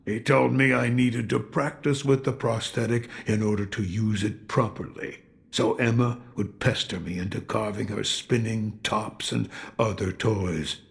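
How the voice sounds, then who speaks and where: Gravelly, weary old shinobi. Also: male voice, on the low side. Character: weary old one-armed retired shinobi sculptor, gruff. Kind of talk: dramatic video game dialogue.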